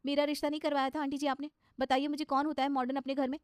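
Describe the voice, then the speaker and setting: Woman acting young earnest daughter in law, speaks her mind, high voice, fast, cartoon style. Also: flat delivery, no echo, clean studio sound, close to an everyday voice. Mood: troubled, earnest. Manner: earnest, outspoken. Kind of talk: cartoon story dialogue.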